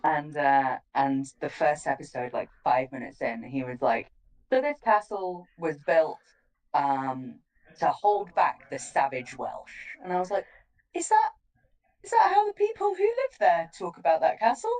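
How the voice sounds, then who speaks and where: A woman's voice: low-pitched, animated, measured pace, darkish tone. A woman telling an anecdote in casual chat with a dry, sarcastic manner. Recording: distant from the mic.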